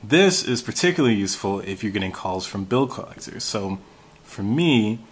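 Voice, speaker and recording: A man's voice: fairly low pitch, big pitch swings, normal speed, slightly rough. An American man hosting a casual how-to walkthrough of a phone app. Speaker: tutorial host.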